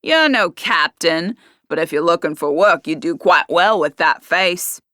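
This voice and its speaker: A woman voicing a grizzled, one-eyed brothel keeper who talks in a gruff, mocking way. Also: fairly low pitch, lively intonation, measured pace, pushed and bright, no room sound, clean studio sound. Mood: leering.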